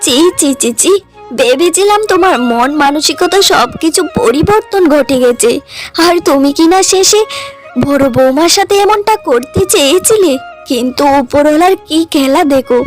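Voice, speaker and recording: A woman's voice: sits high, lively intonation, normal speed, faint music behind. A woman voicing a stern, scolding older mother-in-law in a cartoon drama. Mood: disgusted. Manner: scolding, reproachful. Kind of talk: cartoon dialogue.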